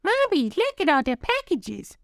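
A man voicing an eager, sweet little boy monster who exclaims with childlike excitement in a children's storybook reading. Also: extremely high pitch, measured pace, vocal fry, no echo, clean studio sound, voice pushed up, heavily acted.

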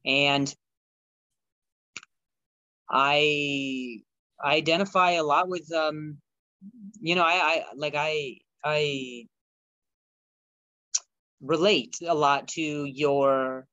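A man with a bright, forceful voice and a stutter talks as a podcast guest, circling back and restarting his phrases. He sits somewhat high for a man. The sound is close and dry, with almost no room around him. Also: fairly even intonation, clear, resonant tone, no room sound, muffled recording. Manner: halting, many restarts and repeated words. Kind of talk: reflective conversation.

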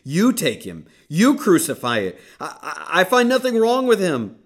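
Frightened Roman governor in a Bible drama, loud, strained, pitched well above the narrator, played by a man reading all parts. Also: huge pitch swings, normal speed, full character voice. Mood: agitated.

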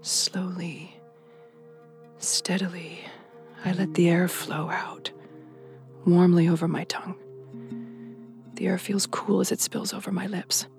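A woman's voice: fairly low pitch, little pitch movement, takes it slowly, pauses often, soft background music. A woman playing a tense, determined police officer in an audio drama, moving between intimate narration and urgent commands. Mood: hushed, nervous. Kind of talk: audio drama acting with hushed first-person narration.

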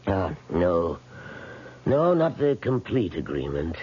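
An actor playing an elderly, weakened millionaire in a radio drama, speaking formally and with measured, cold insistence. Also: male voice, low voice, very animated, darkish tone, breathy edge, full character voice. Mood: coldly insistent. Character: weak, elderly millionaire, polite but coldly demanding. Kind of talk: 1970s radio drama acting. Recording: dull 1970s radio broadcast recording.

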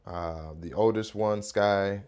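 A man in his thirties hosting a casual morning podcast, his voice hoarse and a little raspy, rambling with fillers. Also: lowish voice, slow pace, muffled recording. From Rochester, New York area. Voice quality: relaxed, rambling delivery with many fillers. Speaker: podcast host.

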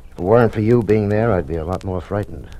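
A man's voice: on the low side, fast, dark tone, close to an everyday voice. An actor playing an older, protective, anxious American father in an old-time radio drama. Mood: worried, grave. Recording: noisy vintage radio recording.

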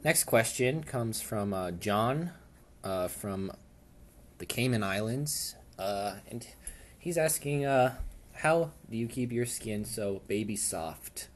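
Deadpan comic podcast host, lowish voice. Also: male voice, a little hiss or hum. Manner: deadpan, mock-formal, with many fillers.

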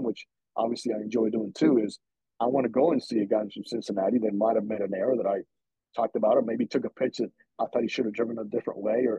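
Gravelly Dominican-born man telling stories. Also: medium pitch, no room sound, lo-fi sound. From Dominican Republic. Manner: earnest, animated, quotes others in voices. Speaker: guest, baseball broadcaster and former player. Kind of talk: conversational interview storytelling.

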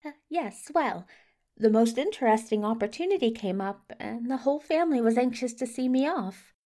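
A woman plays a fussy, well-mannered gentleman messenger for a fantasy audio drama. The voice is fairly high, flustered and placating. Every phrase is courteous, with a nervous eagerness to please. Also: animated, normal speed, close to an everyday voice. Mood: nervous, rambling. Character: anxious, eager-to-please, courtly male messenger, fussily polite. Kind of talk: multivoice podfic audio drama acting.

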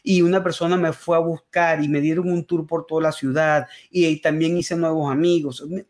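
A man's voice: on the high side, a little mellow. A man from Venezuela, telling stories with enthusiasm and reflection as a guest in a conversational interview over a remote call. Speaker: interview guest, musician and comedian.

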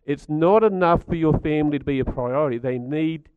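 Croaky man giving a talk. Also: fairly high pitch, brisk, no echo, narrow, tinny audio. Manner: chatty, stats-driven, asks the audience questions. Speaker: speaker at a community gathering. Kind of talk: talk to a live audience.

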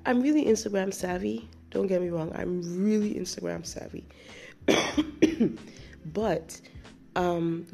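A woman's voice: mid-range voice, gravelly, a little mellow. A woman hosting her own podcast, still getting over a cold with a chesty cough, telling a rambling story in a casual, chatty way with frequent restarts and laughs. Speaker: podcast host.

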